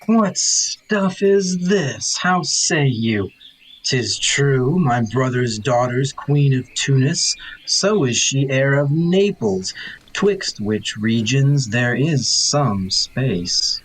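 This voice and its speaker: A man playing a king's brother, a wary nobleman drawn toward treason in a Shakespearean audio drama, speaking in a measured, questioning way. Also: medium pitch, expressive, slight background noise, low-quality recording. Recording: remote recording with music underneath.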